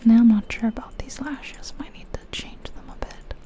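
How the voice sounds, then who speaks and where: A woman whispering softly and breathily in a gentle, unhurried ASMR style, chatting casually as she thinks aloud over a game. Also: on the high side, steady tone. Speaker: ASMR video creator, host.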